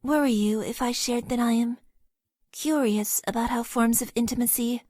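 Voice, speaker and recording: A formal, emotionally awkward young mecha-pilot girl, as an actress voices her for a dating game. She talks earnestly and deadpan in a slightly raised voice, stumbling into nervous stammers. The studio sound is close and dry. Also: on the high side, normal speed, dry recording, close to an everyday voice. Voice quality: stiff, overly formal phrasing. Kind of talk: game voice lines. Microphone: close studio mic, dry.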